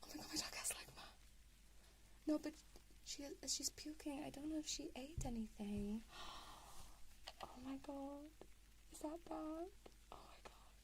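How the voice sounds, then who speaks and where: A woman's voice: high voice, measured pace, pauses often, a little hiss or hum, rough recording. A young woman whispering to viewers on her ASMR live stream, anxious and flustered, swearing and repeating herself.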